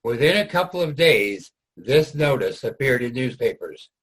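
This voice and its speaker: A man's voice: mid-range voice, sing-song, normal speed, a bit bright, slightly rough, poor audio. A man narrating a scripted history for a reader's theater production.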